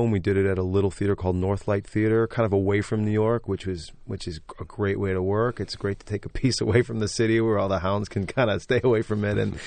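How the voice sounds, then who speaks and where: A man, a stage actor and singer, talking enthusiastically as a guest in an interview, with a loud, braying voice. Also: on the low side, creaky, dry recording. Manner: enthusiastic, effusive. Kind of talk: casual interview talk.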